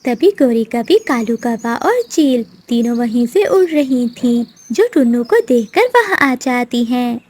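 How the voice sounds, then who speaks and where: A woman's voice: a little high, expressive, a little slow, hardly any pauses, a little mellow. A woman narrating a scripted children's story as a storyteller.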